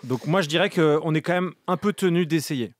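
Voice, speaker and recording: A man chatting on a podcast panel, talking quickly and restarting his sentences as he searches for words. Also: a little high, no room sound, clean studio sound. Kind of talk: casual group chat.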